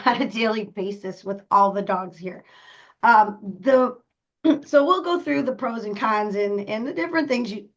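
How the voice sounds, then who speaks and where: Hoarse woman rambling with a cold. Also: on the high side, a bit bright. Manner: rambling, many ums, clears throat. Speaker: podcast co-host, dog boarder. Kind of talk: casual podcast talk. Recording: dull lo-fi audio.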